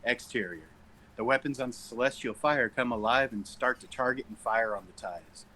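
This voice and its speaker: Man, bright tone, medium pitch, script narration, informal, self-correcting. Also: normal speed. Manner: stumbles over words and corrects himself. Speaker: narrator reading stage directions.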